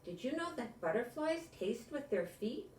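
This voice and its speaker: Woman as a chatty butterfly, lifted pitch, roomy. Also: big pitch swings, normal speed, darkish tone, a little hiss or hum. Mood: friendly, informative. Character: chatty female butterfly full of fun facts. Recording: echoey room, distant mic.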